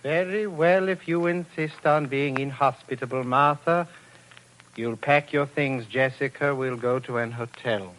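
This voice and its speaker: An actor playing a smooth, domineering psychoanalyst husband, formal and coaxing, in 1940s radio drama style. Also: male voice, mid-range voice, unhurried, fairly bright tone, a little hiss or hum, lightly acted, poor audio. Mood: smugly courteous. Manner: suavely polite, formal, coaxing.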